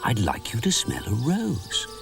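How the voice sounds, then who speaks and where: A man voicing a kind young girl from a nursery rhyme, gently helpful, in a raised voice. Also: mid-range voice, wildly swooping pitch, unhurried, gravelly, music in the background, pitched above the performer's own voice, full character voice. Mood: friendly.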